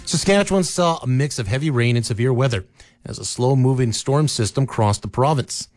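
A man reading scripted local news in a steady newsreader manner, from a radio studio. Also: medium pitch, a little fast, no room sound, faint music behind. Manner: steady, even newsreader delivery. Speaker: radio newscaster.

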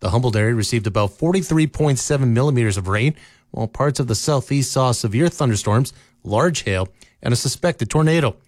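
A man reading scripted local news in a steady newsreader manner, from a radio studio. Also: medium pitch, brisk, no echo. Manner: steady, even newsreader delivery. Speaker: radio newscaster.

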